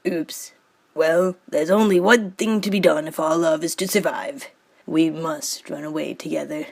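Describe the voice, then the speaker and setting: A woman's voice: low-pitched, steady tone, a little slow, vocal fry, full character voice. Woman doing a pompous dashing young bird. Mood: resolute. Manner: pompous and self-assured. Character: dashing young male bird, vain and proud. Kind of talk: storybook character voice.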